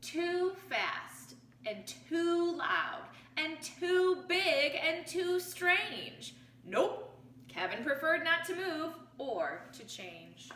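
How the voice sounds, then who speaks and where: A woman reading a picture book aloud to children like a teacher, expressively, pausing to pose questions to her young listeners. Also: very high-pitched, sing-song, pushed and bright. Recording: roomy, distant mic with faint noise.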